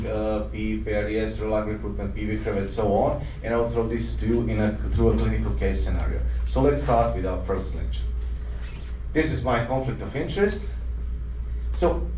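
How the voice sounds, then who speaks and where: A man's voice: medium pitch, a little mellow, slight background noise. A man from Croatia, a doctor giving an earnest conference lecture full of rhetorical questions and small self-corrections. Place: lecture hall. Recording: roomy hall, mic at a distance.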